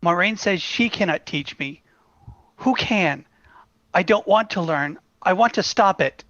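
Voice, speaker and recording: A man's voice: high-pitched, lively intonation, measured pace, a bit bright, dry recording. A man playing an earnest, troubled young shepherd in a table read, on a dull, dry microphone. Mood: troubled, resistant.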